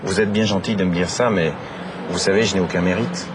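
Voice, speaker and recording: A man's voice: low voice, fairly even intonation, brisk, noisy background, close to an everyday voice. An actor playing a polite, modest young American man visiting Paris, speaking on a city street. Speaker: lead character in a language course drama.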